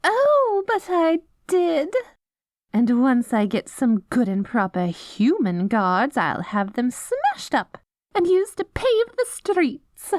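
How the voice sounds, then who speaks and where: Snooty pompous good queen, really high voice, swoopy n dramatic, dry recording. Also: wildly swooping pitch, takes it slowly, no echo, full character voice. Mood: smug. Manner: pompous, prim, melodramatic. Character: vain, haughty, self-righteous Good Queen with a cruel streak. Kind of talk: scripted ASMR audio roleplay.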